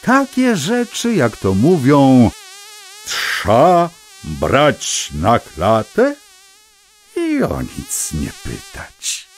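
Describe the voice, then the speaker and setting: An actor playing a wordy, old-fashioned storyteller who narrates a children's fable with pompous, digressive relish. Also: male voice, mid-range voice, huge pitch swings, extremely slow, heavily acted. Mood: wry, musing. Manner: pompous, digressive, savoring each word.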